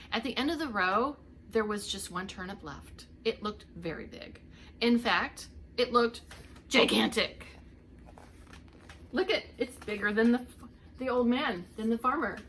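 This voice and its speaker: A woman's voice: fairly high pitch, fairly bright tone, slight background noise. A woman reading a story aloud to young children like a preschool teacher, theatrically drawing out the action words and talking to her listeners.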